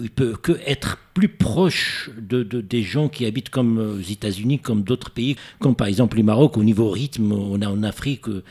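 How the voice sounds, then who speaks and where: A man's voice: medium pitch, mellow. A man hosting a radio interview who is from Morocco, asking long questions while searching for words. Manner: searching for words, repeats himself. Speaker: radio host.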